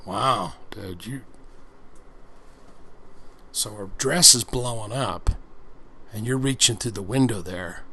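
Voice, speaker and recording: A man's voice: lowish voice, takes it slowly, long pauses, pushed and bright, a little hiss or hum. A man doing a casual ASMR-style book reading, breaking off into crude, slangy, folksy asides. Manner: crude, slangy, folksy commentary between passages. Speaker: host reading an erotic book aloud.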